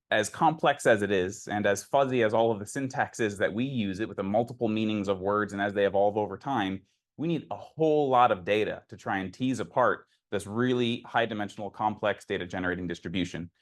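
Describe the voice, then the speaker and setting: A man's voice: lowish voice, moderate pace. A man giving an informal academic lecture, explaining in a conversational way and thinking aloud as he takes questions. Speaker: lecturer presenting a technical talk.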